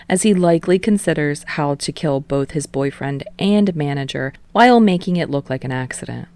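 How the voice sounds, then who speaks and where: A woman's voice: low-pitched, a little mellow, no room sound. A woman reading fiction aloud as scripted audiobook narration.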